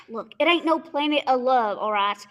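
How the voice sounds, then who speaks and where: A woman playing a slow, folksy male farmer bumpkin in a stage radio play, with a drawling, halting country way of talking. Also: high voice, expressive, fairly bright tone. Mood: stubborn.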